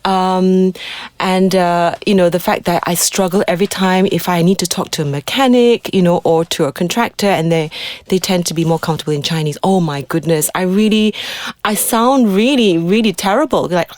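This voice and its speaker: A woman chatting on a podcast, animated and playing out little quoted exchanges. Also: on the low side, moderate pace, no room sound. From Malaysia. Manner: animated, acts out quotes with laughter. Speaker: guest host. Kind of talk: casual chat.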